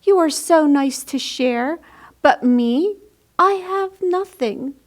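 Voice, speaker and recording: A woman voicing a big, gentle, downcast bear in a children's storybook with a drawn-out delivery. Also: sits high, expressive. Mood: glum.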